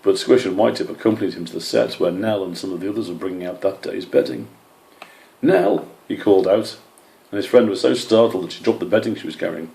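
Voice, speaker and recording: A man's voice: low-pitched, fast, gravelly, mellow, reverberant, low-quality recording. A man reading a scripted children's animal story as its narrator. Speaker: author or story reader.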